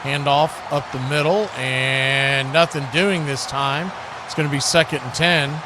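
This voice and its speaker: A man's voice: medium pitch, fairly even intonation, unhurried. A man calling live football play-by-play as a radio announcer. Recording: clearly noisy background hiss and ambience.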